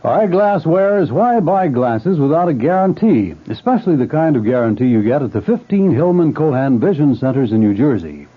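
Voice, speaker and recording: A man's voice: fairly high pitch, sing-song, moderate pace, few pauses. A man reading a punchy radio commercial in an emphatic, salesy way. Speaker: commercial announcer. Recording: tinny phone-like old radio ad, distant.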